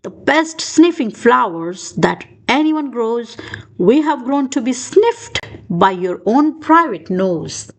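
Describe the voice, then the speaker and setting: Female storybook reader for young children: high voice, very lively pitch swings, dramatic emphasis. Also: slow. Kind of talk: expressive children's read-aloud narration.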